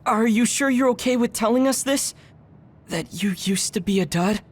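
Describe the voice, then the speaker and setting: A woman's voice: on the high side, normal speed, husky. An actress playing a self-assured, loyal young woman squad mate in an anime game, composed and direct. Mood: concerned. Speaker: video game voice actor. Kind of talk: anime game cutscene dialogue.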